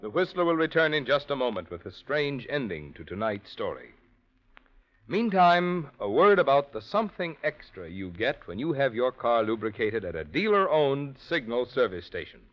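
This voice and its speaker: Animated old-time radio announcer man, swinging pitch. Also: normal speed, soft background music. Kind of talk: scripted 1940s radio sponsor read. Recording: dull old radio recording.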